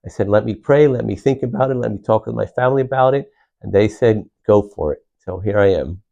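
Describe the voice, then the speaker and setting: Middle-aged man, earnest interview answers, frequent restarts, warm dark tone, dull recording. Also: fairly low pitch, fairly quick, vocal fry. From Puerto Rico. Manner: earnest, repeats words and restarts with many "uh"s. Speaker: county commissioner.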